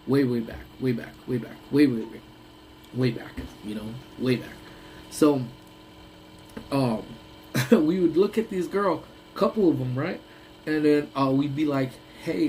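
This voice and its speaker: A man's voice: medium pitch, dark tone, a little hiss or hum, low-quality recording. A young man hosting a casual lunch-break live stream, rambling and laughing often, with a voice that sounds congested. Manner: rambling, laughs often, trails off mid-thought.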